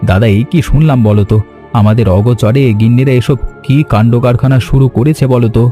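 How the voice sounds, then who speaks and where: A man voicing an earnest younger brother, an office worker in an animated story, speaking emphatically. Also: mid-range voice, fairly quick, faint music behind, close to an everyday voice. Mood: curious.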